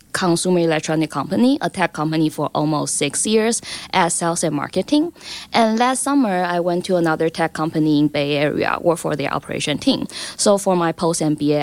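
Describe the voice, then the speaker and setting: A woman's voice: on the low side, darkish tone. Woman from Taiwan, second-language English. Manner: eager, slightly non-native phrasing. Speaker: MBA student guest. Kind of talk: casual panel talk.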